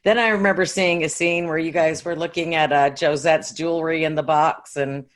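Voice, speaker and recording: A woman's voice: low voice, steady tone, normal speed. A woman co-hosting a casual interview, chatty, agreeable and complimentary. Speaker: interview co-host.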